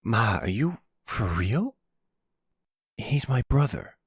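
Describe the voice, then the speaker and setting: A man's voice: mid-range voice, very animated, takes it slowly, slightly rough, no room sound, studio quality, voice pushed up, full character voice. A man playing a brash, loyal young son with a casual, cheeky manner in an ASMR roleplay drama. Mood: indignant.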